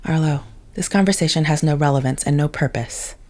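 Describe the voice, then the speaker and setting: A woman's voice: low-pitched, brisk, darkish tone, natural voice. Woman acting detached female telepath, formal, precise diction, coolly logical, controlled, audio drama. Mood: cool, guarded.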